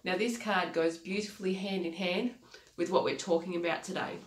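A woman's voice: lowish voice, steady tone, moderate pace. Aussie woman life coach, stuffy nasal voice from a cold, talks to camera in a small echoey home office. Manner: earnest, repeats key phrases, gestures with hands. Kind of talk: motivational talk to camera. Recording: echoey room.

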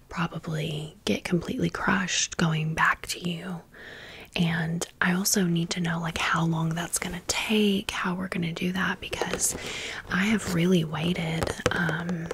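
A woman's voice: fairly low pitch, creaky, background noise. A woman hosting an ASMR storytime, rambling casually and conversationally with wry asides. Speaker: ASMR video host.

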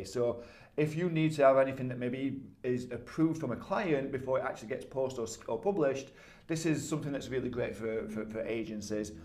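A man's voice: mid-range voice, a little mellow. Emphatic Yorkshire man talking to camera. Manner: emphatic, conversational, repeats words when restarting. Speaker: business coach. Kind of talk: casual talking-head advice. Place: small office indoors. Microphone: camera at desk distance.

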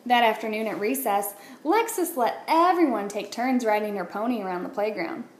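A woman, a teacher, reading a children's picture book aloud with expressive, deliberate phrasing. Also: fairly high pitch. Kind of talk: read-aloud storytelling for children. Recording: roomy echo, light background noise.

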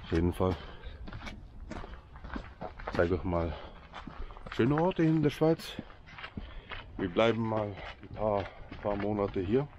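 A man's voice: on the low side, slow, pauses often, dull audio, noisy background. A Swiss man whose first language is Swiss German, speaking with a Swiss accent, chatting and joking to his viewers outdoors by a lake. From Switzerland. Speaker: vlogger. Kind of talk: casual vlog commentary to viewers.

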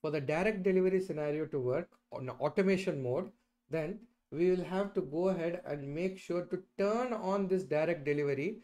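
A middle-aged man with a slightly high voice teaches a software tutorial. He explains step by step and often checks that the listener follows with short tag questions. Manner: checks in often with "right?" and "okay". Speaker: instructor presenting a software tutorial. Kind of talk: explanatory screen-share tutorial. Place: indoors, at a computer.

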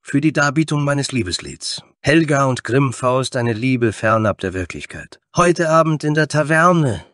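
A man's voice: mid-range voice, animated, measured pace, few pauses, a bit bright, no echo, studio quality, pitched above the performer's own voice. A man voicing a dreamy, vain male tiefling bard with an airy, effusive and theatrical manner in a fantasy story. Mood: enthusiastic, dreamy.

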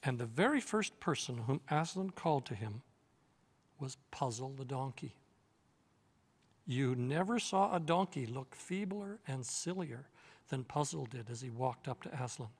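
A man in his sixties reading a children's novel aloud in a measured, steady storytelling manner, seated in an armchair on a studio set. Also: medium pitch, husky, long reverb tail. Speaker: reader.

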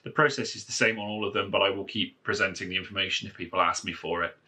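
A man with a deep voice and a crisp, bright edge talks through a phone repair tutorial at a desk. He rambles a bit, restarting sentences and filling gaps with "um" and "uh" as his hands work on the device off camera. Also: steady tone, quick pace. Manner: rambling, many fillers and restarts. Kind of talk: casual how-to walkthrough. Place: indoors at a wooden desk, filming a phone from above.

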